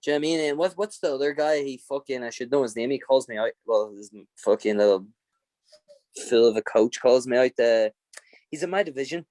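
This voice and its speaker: A man's voice: medium pitch, darkish tone, dry recording. A man with an Irish accent, a podcast guest talking bluntly and profanely in a casual interview. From Ireland. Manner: blunt, profane and fiery, quick to laugh.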